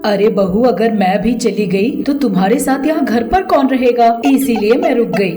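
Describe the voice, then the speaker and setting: A woman playing a kindly village mother-in-law in a family story, affectionate and gently chiding. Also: fairly high pitch, normal speed, close to an everyday voice. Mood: warm, reassuring. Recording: echoey voice over background music.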